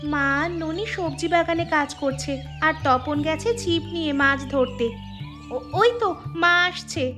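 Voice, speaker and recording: A woman's voice: high voice, unhurried, music in the background. A woman voicing an eager, dutiful young village daughter in a cartoon, with an exclamatory way of talking. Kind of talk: cartoon dubbing.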